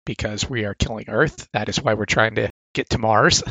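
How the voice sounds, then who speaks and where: A man's voice: on the low side, moderate pace, sharp tone, slightly rough, no room sound. A man with a sore throat casually reviewing comic catalog picks, reading blurbs aloud with enthusiastic asides.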